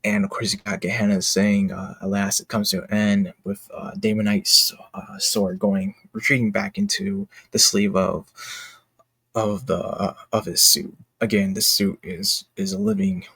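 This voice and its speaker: Low, gravelly, husky-voiced man. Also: fairly even intonation, measured pace, a bit bright, no echo. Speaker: indie comic creator and video host. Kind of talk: casual behind-the-scenes commentary.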